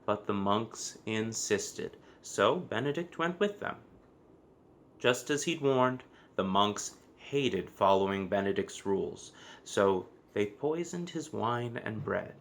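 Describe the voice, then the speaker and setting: A man narrating a children's story from a book, with expressive, measured phrasing and deliberate emphasis. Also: lowish voice, vocal fry. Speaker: storybook reader.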